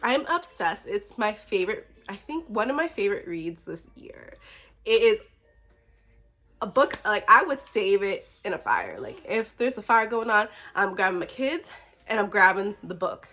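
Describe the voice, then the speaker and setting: A woman's voice: mid-range voice, big pitch swings, moderate pace, bright, forceful tone. Effusive woman chatting casually about books. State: slightly sick. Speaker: book vlogger. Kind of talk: casual chatty book talk.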